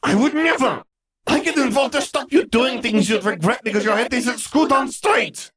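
A man's voice: fairly low pitch, big pitch swings, fairly quick, soft background music, voice pushed up. A man voicing a cheeky, easily indignant younger sister, part bird, with a chirpy, protesting manner.

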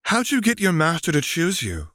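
A man's voice: fairly high pitch, wildly swooping pitch, moderate pace, fairly bright tone, dry recording, clean studio sound, pitched above the performer's own voice. A man voicing a frustrated teenage alien girl initiate in a fan fiction reading, earnest and pleading.

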